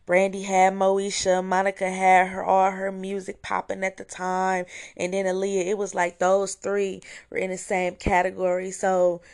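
Hoarse woman hosting a chatty review podcast. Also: medium pitch, monotone, normal speed, few pauses, a little mellow. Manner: chatty, enthusiastic, with fillers and restarts. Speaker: podcast host. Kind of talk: casual solo review podcast. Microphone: home recording, voice message style.

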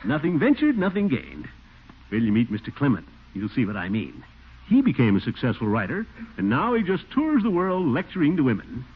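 An actor playing a pompous, eager middle-aged uncle and small-town official in a 1940s radio comedy, blustery and given to chuckling. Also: male voice, medium pitch, huge pitch swings, fairly quick, breathy edge, exaggerated voice. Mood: self-satisfied. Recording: tinny, noisy old radio broadcast.

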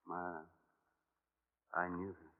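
An actor playing a weary, evasive, guilty husband in a radio crime drama, hesitant and resigned. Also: male voice, sits low, monotone, slow. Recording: muffled old radio broadcast recording.

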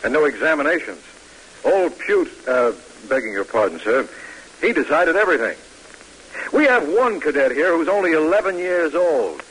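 An actor playing a candid, deferential young army lieutenant in old-time radio drama, respectful and frank. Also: male voice, on the high side, very animated, normal speed, a bit bright, breathy edge, heavily acted, low-quality recording.

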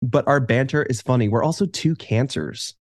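A man's voice: mid-range voice, normal speed, darkish tone, dry recording, studio quality. A man co-hosting a podcast in casual chat, with wry, sarcastic humor. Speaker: podcast co-host.

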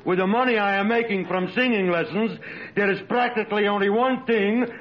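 Man playing a shouty, high-pitched foreign music teacher. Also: fairly even intonation, brisk, natural voice. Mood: loud, exasperated. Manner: broken English, theatrical. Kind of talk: 1940s radio comedy acting. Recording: muffled noisy old radio recording, live audience.

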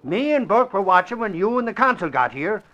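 Vintage radio drama lawman: blunt, excitable, high and forceful delivery. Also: a little fast, lo-fi sound, exaggerated voice. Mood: excited. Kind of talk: 1940s radio drama acting.

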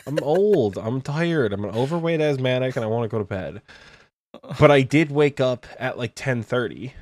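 A man in his twenties telling a story on a podcast, animated and casual, with swearing. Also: medium pitch, normal speed, a little hiss or hum. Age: 20s. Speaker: podcast co-host.

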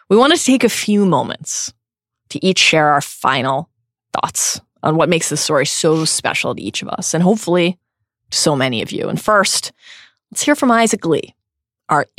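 A woman podcast co-host speaking earnestly, her pitch leaping and falling with feeling. Her voice sits a touch low for a woman. The sound is dry and close. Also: dry recording. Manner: earnest and emphatic, reads listener tallies with drawn-out stress. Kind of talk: heartfelt podcast chat.

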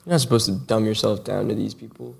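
Gravelly, wisecracking male co-host. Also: fairly low pitch, fairly even intonation, fast. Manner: wisecracking, teasing. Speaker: podcast co-host. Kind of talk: casual chat.

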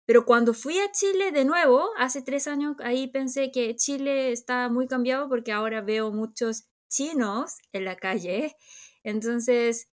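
A middle-aged woman from Korea with a Korean accent, co-hosting a chat podcast in a reflective way, often restarting and searching for words. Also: fairly high pitch. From South Korea. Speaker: podcast co-host. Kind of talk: casual chat.

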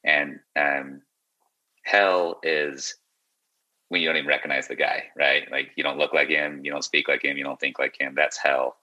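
Very deep, bright-edged young man. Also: little pitch movement, moderate pace, poor audio. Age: young adult. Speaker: podcast guest. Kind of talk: casual, reflective conversation.

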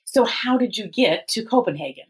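A woman's voice: fairly high pitch, expressive, normal speed, breathy edge. A woman hosting a podcast, introducing and questioning her guest in a conversational interview style.

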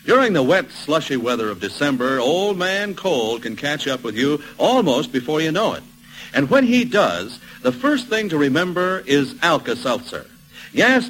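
An American man giving a folksy, emphatic 1940s radio advertising pitch on a vintage recording. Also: fairly bright tone, poor audio. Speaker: radio announcer. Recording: vintage radio, faint noise, hum.

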